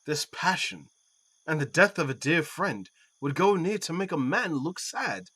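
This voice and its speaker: A man's voice: a little high, big pitch swings, unhurried, sharp tone, breathy edge, heavily acted. A man playing a witty nobleman who wryly mocks and teases in a Shakespearean audio play. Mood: dry mockery. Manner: wry, teasing.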